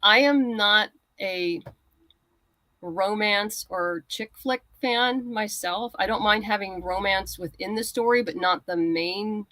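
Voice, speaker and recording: A woman's voice: mid-range voice, takes it slowly, bright, forceful tone, no room sound. Woman guest explaining in casual chat. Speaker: guest writer and comics creator.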